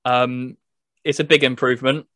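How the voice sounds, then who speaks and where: A man's voice: medium pitch, level tone, moderate pace, sharp tone, no echo. A man co-hosting a gaming podcast, chatting casually and explaining things step by step. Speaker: podcast co-host reviewing a video game. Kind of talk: casual chat.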